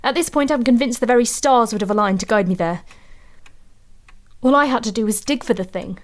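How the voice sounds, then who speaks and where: An actress playing an anxious, haunted woman antiquarian in an audio drama, telling her tale in a measured, confiding way. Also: a little high, lightly acted. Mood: resigned, intense. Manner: measured, confiding, uneasy storytelling.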